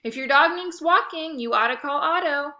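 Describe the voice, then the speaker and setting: A pushy, excitable schoolboy, as a woman reads him aloud, always hustling for his dog-walking business. His voice sits high and bright, and he keeps his talk loud and forceful. A storybook kid who is eager and scheming. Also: moderate pace. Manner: pushy, excitable, yelling at dogs.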